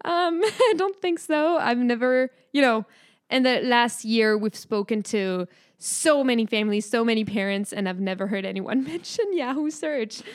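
A woman's voice: a little high, animated, normal speed, clean tone. A young woman from France with a French accent, co-hosting a casual podcast chat, friendly, with frequent laughs and fillers. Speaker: podcast co-host.

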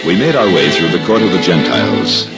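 An actor playing a gentle, devout husband recounting his memories in a solemn, measured, formal way in a 1950s radio drama. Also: deep voice, sing-song. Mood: reflective, solemn. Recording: dull 1950s radio recording, music behind.

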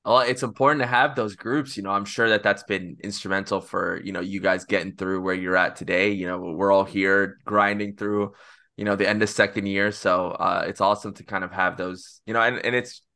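A young man from Egypt hosts a podcast and asks friendly, rambling questions full of fillers. His voice sits low but sounds bright and clear. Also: flat delivery, a little fast. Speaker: podcast host. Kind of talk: casual chat.

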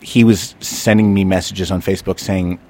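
A man hosting a podcast, talking in an animated, rambling way and often restarting his sentences as he thinks aloud. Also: deep voice, moderate pace. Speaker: podcast host. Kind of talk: casual conversational chat.

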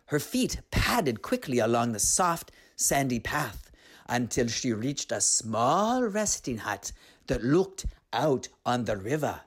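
A woman storyteller with a low voice and very lively intonation. She narrates a scripted tale expressively, taking her time over it at a slow pace.

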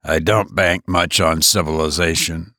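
A man reading an audiobook, voicing a worldly lawyer who speaks in a measured, cynical way. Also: low-pitched, steady tone, pushed and bright, no echo, clean studio sound, voice pushed down, heavily acted. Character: calm, worldly middle-aged male lawyer.

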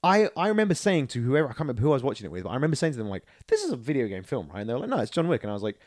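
A man co-hosting a podcast, talking casually and in a rambling, animated way about films and games. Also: medium pitch, racing, no echo, studio quality. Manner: animated, rambling, acts out quotes. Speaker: podcast co-host. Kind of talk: casual film and video game chat.

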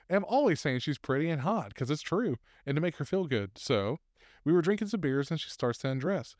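A man's voice: a little high, dry recording, studio quality. A man co-hosting a casual podcast, reading posted stories aloud with fillers and laughs.

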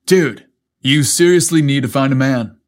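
A man's voice: medium pitch, moderate pace, natural voice. Man doing an easygoing, teasing firefighter buddy. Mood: teasing, encouraging. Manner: casual, encouraging.

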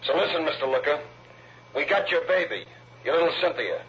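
Higher-pitched, menacing kidnapper on tape. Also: male voice, lively intonation. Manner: tough, threatening, deliberate. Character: menacing, slangy male kidnapper giving ransom orders. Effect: played back through a tape machine. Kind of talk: old-time radio crime drama acting. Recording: muffled, noisy taped message on vintage radio.

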